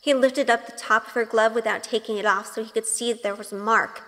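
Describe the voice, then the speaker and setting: Woman acting a bright young witch, long reverb. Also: fairly high pitch, fairly even intonation, a little fast, close to an everyday voice. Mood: tense storytelling. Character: earnest, impassioned young witch telling her story. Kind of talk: audio drama narration and acting.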